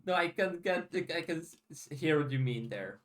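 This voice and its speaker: A man co-hosting a podcast in casual chat, giving opinions in a measured, hesitant way. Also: on the high side, expressive. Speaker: podcast co-host. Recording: slightly distant from the mic.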